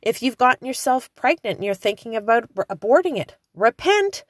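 A woman in her forties delivers an informal sermon with chatty fillers. Her pitch leaps high on emphatic calls. The recording is dry and close. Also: very animated, fairly quick, no echo. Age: 40s. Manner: conversational with frequent fillers. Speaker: preacher. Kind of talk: informal preaching.

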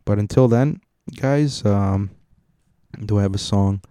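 A young man hosts a podcast in a loose, joking monologue, rambling and cursing casually. His voice is low and mellow, and the sound is dry and close. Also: a little slow, clear, resonant tone, no echo. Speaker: podcast host.

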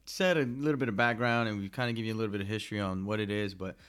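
Low voice dude on a casual tech podcast, chatty, teasing his cohost, talks right to the listeners. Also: rapid-fire, fairly bright tone, smooth. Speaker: podcast co-host.

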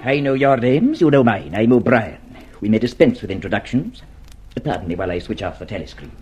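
A man's voice: fairly low pitch, animated, brisk, music in the background, natural voice. An actor playing a suave, commanding male political insider in a radio drama, formal and authoritative, on a muffled recording. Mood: cool, commanding.

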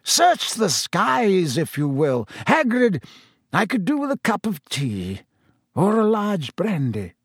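A man voicing a wise old headmaster in an audiobook, courteous and quietly amused. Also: sits high, very animated, drawn-out words, hardly any pauses, dry recording, clean studio sound, pitched above the performer's own voice, heavily acted.

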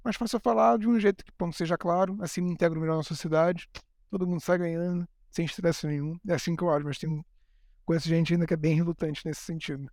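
Brazilian male podcast co-host, quick and casual, slightly high voice, dry close sound. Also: steady tone, darkish tone, dry recording. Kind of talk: casual chat.

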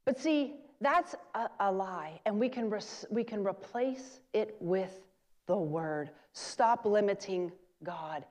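A woman's voice: medium pitch, lively intonation, takes it slowly, darkish tone. A woman preacher giving a sermon, urging her listeners and repeating key phrases for emphasis. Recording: long hall reverb.

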